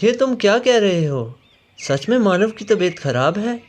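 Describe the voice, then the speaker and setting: Man as an animated, earnest young cartoon bird. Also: sits high, a little slow. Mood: worried. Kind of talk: Hindi children's cartoon voice acting.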